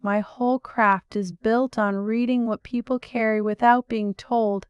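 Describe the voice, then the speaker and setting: A woman narrating a first-person story in a measured, reflective way. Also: a little high, fairly even intonation, smooth, dry recording, highs cut off.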